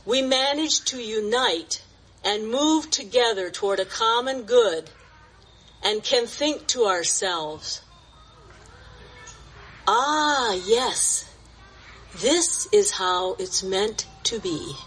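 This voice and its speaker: Slow, measured woman in her seventies. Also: a little high, lively intonation, fairly bright tone, a little hiss or hum, poor audio. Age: 70s. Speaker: church member sharing reflection. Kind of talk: reflective sharing and poem reading. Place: outdoor church gathering.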